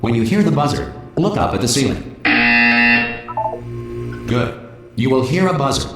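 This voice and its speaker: A man's voice: medium pitch, animated, moderate pace, natural voice. Man as an echoing, officious automated announcer. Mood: bland, instructive. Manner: formal, scripted, blandly cheerful. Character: polite, officious male automated facility announcer machine. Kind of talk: recorded public announcement in a video game. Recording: echoing public-address announcement over music.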